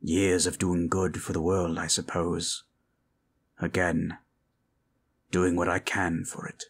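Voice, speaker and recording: A man voicing a grave dark sorcerer turned to good, solemn, measured and commanding, in a fantasy ASMR roleplay. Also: sits low, level tone, exaggerated voice. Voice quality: husky.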